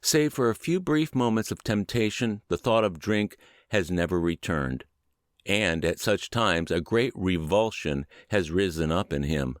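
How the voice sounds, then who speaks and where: A middle-aged man reading aloud as scripted audiobook narration, in an earnest, measured and expressive manner. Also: deep voice, dry recording, studio quality.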